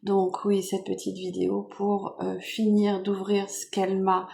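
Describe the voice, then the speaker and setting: A French woman with a cold, hoarse and nasal, chatting softly and rambling with many fillers as she unboxes gifts at home. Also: medium pitch, completely flat delivery, measured pace, a little hiss or hum. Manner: soft-spoken, meandering with many fillers. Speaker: vlogger. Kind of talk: casual chat unboxing makeup gifts.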